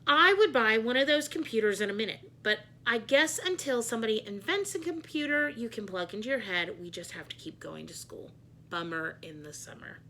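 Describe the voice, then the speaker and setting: Woman reading as a bright-voiced wisecracking schoolboy. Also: fairly high pitch, expressive, fairly quick. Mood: sardonic, dreamy. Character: wisecracking schoolboy narrator who hates school. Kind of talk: children's book read-aloud.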